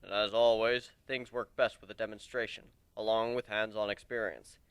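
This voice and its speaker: A man voicing a stern wolf pack leader, measured and commanding, instructing fighters in a fantasy story. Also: fairly low pitch, flat delivery, fairly bright tone, clean tone, no room sound, voice pushed down.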